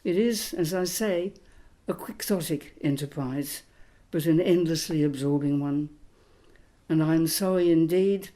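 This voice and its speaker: Older woman, mellow reflective lecture voice. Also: sits low. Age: 80s. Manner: measured, literary. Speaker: historian giving a recorded talk.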